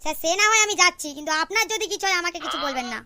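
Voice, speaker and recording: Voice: very high voice, animated, rapid-fire, sharp tone, heavily acted. A performer voicing a whiny, mischievous schoolboy in a comedy cartoon, with mock innocence. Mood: sly.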